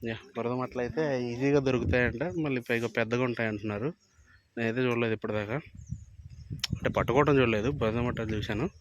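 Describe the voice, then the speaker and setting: A man hosts a casual outdoor vlog. He talks extremely quickly at a middling pitch, switching between commentary and banter. There is faint noise behind him. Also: steady tone. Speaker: vlog host filming children fishing. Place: outdoors by water.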